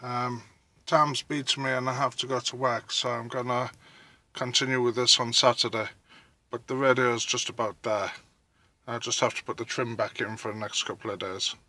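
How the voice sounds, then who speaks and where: A man giving casual, halting hands-on commentary as he thinks aloud through a DIY job, recorded on a handheld phone. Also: mid-range voice, flat delivery, sharp tone, no echo. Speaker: vlogger narrating a DIY car radio install.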